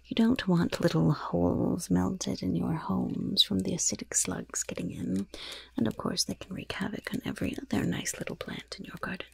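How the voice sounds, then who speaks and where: A woman voicing a mischievous goblin shopkeeper in a breathy whisper, with coy, unhurried sales patter in an ASMR roleplay. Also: fairly low pitch, darkish tone, no room sound, heavily acted. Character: mischievous female goblin shopkeeper selling poisons and curses.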